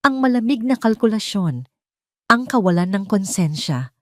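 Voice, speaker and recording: A woman's voice: medium pitch, sing-song, moderate pace, dry recording, clean studio sound. A woman with a Filipino accent narrating a scripted romance drama as a storyteller.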